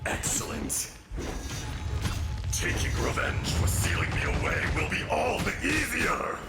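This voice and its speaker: A man's voice: wildly swooping pitch, unhurried, exaggerated voice. An actor playing a huge, ancient male dragon bent on revenge, menacing and commanding. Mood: wrathful. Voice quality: gravelly booming. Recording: echoey game audio over loud music.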